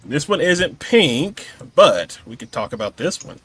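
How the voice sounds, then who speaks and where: A man's voice: on the high side, very animated, a little slow, fairly bright tone, breathy edge. A man hosting a casual podcast interview in an easygoing conversational style. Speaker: podcast host.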